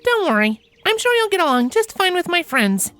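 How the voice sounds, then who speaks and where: A man's voice: very high-pitched, sing-song, moderate pace, sharp tone, no room sound, faint music behind, pitched above the performer's own voice, exaggerated voice. A man voicing a friendly young female pony with an encouraging, chatty manner, in a story reading.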